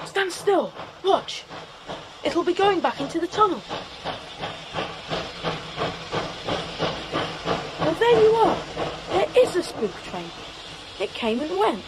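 A woman's voice: very high voice, very animated, a little slow, exaggerated voice. A woman playing a keen, sensible English schoolboy leader in a children's audio drama, with a British accent. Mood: excited, awed. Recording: faint noisy 1990s cassette audio drama.

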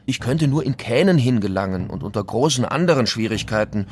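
A man's voice: medium pitch, expressive, a little fast, close to an everyday voice. Conquistador chronicler played by a male actor, mid register, documentary quotation read. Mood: measured recounting. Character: male Spanish conquistador chronicler recounting events. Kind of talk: dramatized reading of historical accounts.

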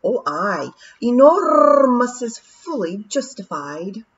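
A woman voicing a pompous, long-winded male pixie, measured and drawn-out. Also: fairly high pitch, big pitch swings, slight background noise, pitched above the performer's own voice. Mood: ponderous. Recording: dull lo-fi audiobook recording.

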